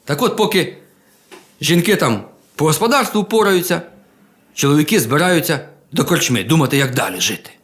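A man telling a humorous folk tale in a colloquial, expressive, folksy manner. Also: on the high side, normal speed, slight background noise. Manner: colloquial, expressive, with chuckles and exclamations. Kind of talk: folksy humorous oral storytelling. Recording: music behind the voice.

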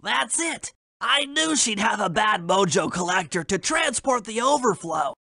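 A wordy nerd turtle for a game, bright edgy voice, takes his time. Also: sits high, expressive, a little slow, gravelly, dry recording, clean studio sound, exaggerated voice. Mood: explaining. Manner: pedantic, wordy, theatrical. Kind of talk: video game voice acting.

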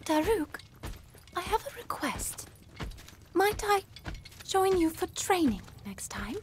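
A woman's voice: very high voice, very animated, takes it slowly, a little hiss or hum, heavily acted. A woman playing a dutiful, eager young royal attendant in a game cutscene, polite, deferential and earnest. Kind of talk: dramatic game cutscene acting.

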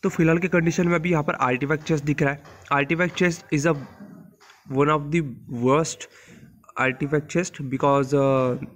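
A man with an Indian accent, hoarse from a sore throat, giving a casual, blunt voice-over guide to a mobile game. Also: a little high. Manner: informal, blunt, mixes English terms into speech. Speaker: mobile game channel host.

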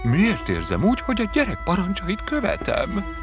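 An actor voicing a puzzled, formal grown man of authority in a crime cartoon, with a gravelly voice. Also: high-pitched, wildly swooping pitch, over loud music. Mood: doubtful, official.

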